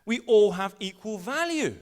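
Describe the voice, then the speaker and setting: A man's voice: high voice, normal speed. A man preaching at a live church service, bringing people up from the congregation and building his points with rising emphasis. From England. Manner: animated, rising emphasis when making points. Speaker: pastor. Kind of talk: live sermon with volunteers. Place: school hall church service.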